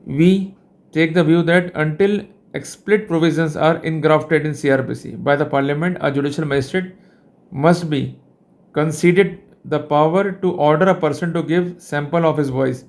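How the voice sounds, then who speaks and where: A man with an Indian accent speaks as a lecturer, in a somewhat high voice. His delivery is formal and deliberate, explaining step by step and leaning on repeated linking phrases. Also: steady tone, darkish tone. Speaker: legal lecturer.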